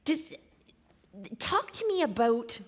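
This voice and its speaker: A woman hosting an onstage author interview at a library event, self-deprecating and quick to laugh, on a stage mic. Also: sits high, big pitch swings. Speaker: interview host. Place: public library event hall.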